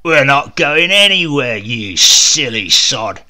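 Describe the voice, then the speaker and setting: A man's voice: mid-range voice, big pitch swings, takes it slowly, sharp tone, heavily acted. A man voicing a huge, coarse, scornful brute who roars at the people around him. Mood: roaring scorn. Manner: roaring, coarse.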